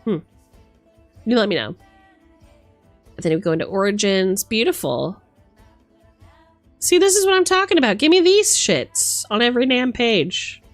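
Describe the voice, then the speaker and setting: A woman's voice: fairly high pitch, sing-song, moderate pace, long pauses, no room sound, soft background music. A woman doing casual livestream commentary as host, playful and theatrical, mixing gushing exclamations with dry asides. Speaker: streamer hosting a live book look-through.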